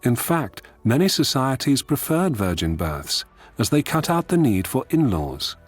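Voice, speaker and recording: A man's voice: mid-range voice, sing-song, unhurried, faint music behind. A man delivering wry, scripted comic narration like entries from a guidebook.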